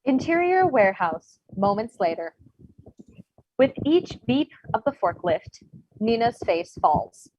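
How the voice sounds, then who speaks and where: A woman reading stage directions as scripted narration at a comedy table read. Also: on the high side. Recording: dull, noisy home microphone with clicks.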